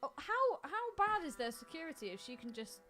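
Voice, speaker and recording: A woman with a fairly high, very animated voice chats casually as a podcast co-host. She banters in an irreverent, crude way, with music playing behind her. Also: brisk. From Kingston.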